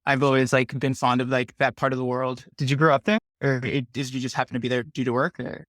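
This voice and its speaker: Casting: young designer guy, mid-pitch, rambling chatty delivery, dry close sound. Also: flat delivery, quick pace, fairly bright tone, no echo. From Colombia. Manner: rambling, heavy use of "like". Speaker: guest, industrial designer. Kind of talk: casual video chat.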